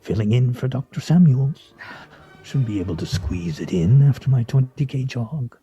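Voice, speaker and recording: A man's voice: medium pitch, animated, normal speed, warm tone, highs cut off, faint music behind, close to an everyday voice. A man playing a wry, theatrical husband in a comic radio play, speaking in a pompous, mock-formal way. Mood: hushed, conspiratorial. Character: wry, theatrical, teasing husband with grandiose fantasies. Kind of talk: stage radio play comedy acting.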